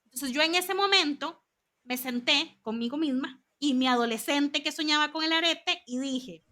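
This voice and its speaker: A woman in her thirties with a Costa Rican accent, a guest telling stories in an animated, chatty way in an interview. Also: sits high, normal speed. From Costa Rica. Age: 30s. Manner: animated, chatty storytelling with lots of asides. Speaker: guest, communications consultant.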